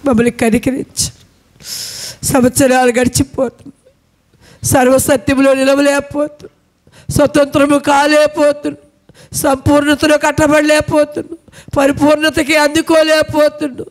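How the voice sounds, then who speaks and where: A man's voice: very high-pitched, completely flat delivery, normal speed. A man leading a spoken prayer with a repetitive, pleading cadence, heard from farther off at times. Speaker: prayer leader.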